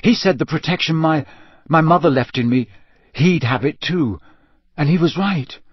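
A man reads as a teenage wizard boy in a storybook, earnest and shaken. The voice sits somewhat high, husky and halting. The sound is a little dull. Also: no room sound. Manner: halting, strained.

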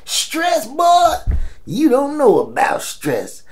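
A man's voice: extremely high pitch, huge pitch swings, takes it slowly, a little mellow, full character voice. A man playing a blunt, teasing old American grandfather in an audio drama, salty and slangy as he scolds. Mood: scolding.

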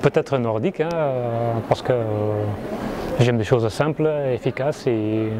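A man, an artist who works with reclaimed wood, speaking earnestly and repeating words as he thinks. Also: mid-range voice. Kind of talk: interview at an art fair. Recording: crowd noise in a hall.

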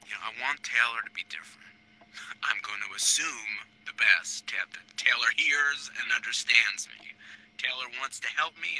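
An actor playing a lonely, earnest, hopeful helper in a game, halting and wistful. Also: male voice, medium pitch, bright, forceful tone, rough recording. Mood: dejected. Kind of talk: VR game acting.

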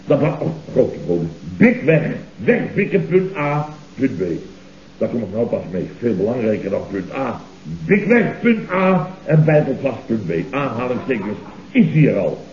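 Echoey muffled old radio comedy, panicky bossy boss, clipped orders, wildly varied pitch. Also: male voice, sing-song, a little slow, darkish tone. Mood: agitated. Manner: clipped orders, repetitive, agitated. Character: panicky, bossy, blustering male office boss. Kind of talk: 1970s Dutch radio comedy acting.

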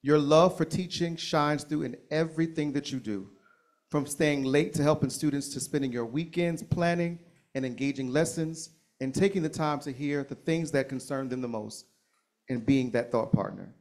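A man at a school board meeting delivering a formal, prepared speech of praise in a measured, ceremonious way. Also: fairly high pitch, darkish tone. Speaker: school district speaker.

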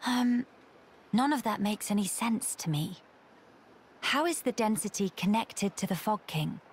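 An actress playing an earnest young noblewoman in a video game, speaking in formal, measured diction. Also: medium pitch, animated, a little hiss or hum, natural voice.

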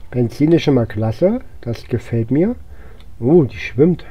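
A man's voice: mid-range voice, lively intonation, unhurried, breathy edge, muffled recording, faint music behind. A man who sounds a bit under the weather, giving casual let's play commentary in short, muttered fragments to himself. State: unwell, recovering from illness. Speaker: gaming YouTuber.

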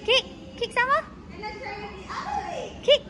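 A woman's voice: extremely high pitch, steady tone, slow, very noisy. A woman with a Singaporean English accent, a parent filming and coaxing her toddler at an indoor gym, cheering and laughing often. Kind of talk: casual encouragement to a child. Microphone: phone camera held by the filmer. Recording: loud music and crowd chatter behind.

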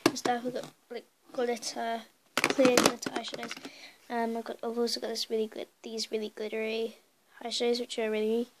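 Croaky young girl with a cold, high-pitched and rambling, filmed on a hissy phone in her bedroom. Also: monotone, moderate pace. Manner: chatty, rambling with many fillers. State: hoarse from a cold. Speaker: beauty vlogger. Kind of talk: casual makeup collection tour. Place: bedroom at home, indoors. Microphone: phone camera held in hand.

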